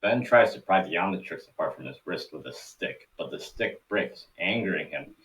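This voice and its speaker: A man's voice: deep voice, lively intonation, moderate pace, a bit bright, far from the mic, low-quality recording. A man narrating stage directions for a cartoon table read in a scripted storytelling style.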